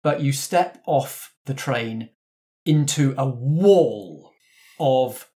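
Game master, man, unhurried descriptive narration, even middle pitch. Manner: slow, measured scene description.

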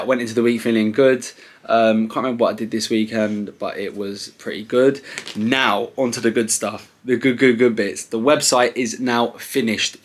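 A young British man in his twenties vlogging casually from his living room sofa, relaxed and rambling with many ums. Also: mid-range voice. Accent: British English. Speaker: clothing brand owner vlogging. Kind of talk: casual to-camera vlog. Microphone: camera close at sofa level.

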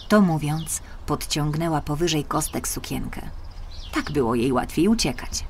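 Female children's audiobook narrator: slightly low pitch, measured, expressive storytelling. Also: background noise. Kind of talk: scripted children's fairy-tale narration.

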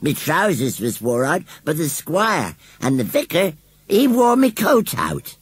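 Grumbling, drawling rustic scarecrow. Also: male voice, fairly high pitch, sing-song, husky, dry recording, voice pushed up, exaggerated voice. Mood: proud. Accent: rural English. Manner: grumbling, drawn-out, indignant rustic speech. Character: sulky, proud old rustic male scarecrow.

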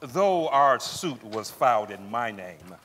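In a reverberant hall, a resolute, dignified father performed by a middle-aged man recounts his story. His voice is mid-pitched and measured, as in a dramatic stage reading. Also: big pitch swings, a bit bright, slight background noise. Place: large theatre stage, indoors. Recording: echoey theatre hall, long reverb.

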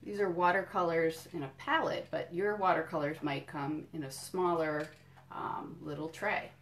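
A woman doing a children's storytime, reading a picture book in deliberate phrases and explaining things simply to young listeners. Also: sits low, fairly even intonation, noisy background. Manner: addresses young listeners directly with questions and encouragement. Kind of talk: children's storytime read-aloud with friendly asides.

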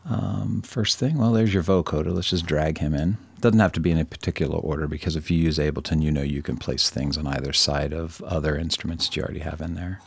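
A man with a very low voice and plenty of vocal fry presents a software tutorial. He talks informally and thinks aloud, poking a little fun at himself. The recording is close and dry. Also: fast talker, few pauses, dry recording. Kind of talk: casual screen-recorded tutorial.

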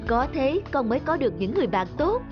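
Lively, kindly schoolteacher over music. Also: female voice, sits high, fast talker, highs cut off. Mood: gently instructive. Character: kind, patient female schoolteacher guiding her pupils. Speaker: schoolteacher character. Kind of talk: animated moral tale dialogue. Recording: music behind the voice.

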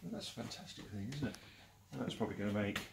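An English man in his forties with a gravelly edge, chatting cheerfully as he leads a tour through a packed saleroom. The sound comes from a camera mic that follows him around, with clear background noise in the room. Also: on the low side, lively intonation, very fast. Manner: chatty and enthusiastic, chuckling often. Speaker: auctioneer giving a saleroom tour. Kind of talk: casual walk-through commentary. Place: large cluttered indoor auction saleroom. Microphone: handheld camera following him.

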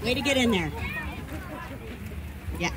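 A woman running a kids' game at an outdoor event, giving encouraging instructions loud enough for a group to hear. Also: a little high, lively intonation, slow. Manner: encouraging, upbeat, loud to be heard. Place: outdoor park field with a crowd of children. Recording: crowd and outdoor noise, phone camera. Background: crowd of children and outdoor noise.

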